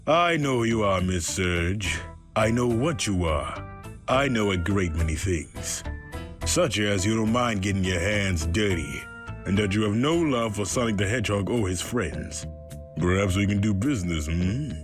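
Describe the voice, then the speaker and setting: A man voicing a suave older opossum villain businessman in a comic dub, speaking politely with smug, measured menace. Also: fairly low pitch, expressive, over music. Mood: smug, scheming.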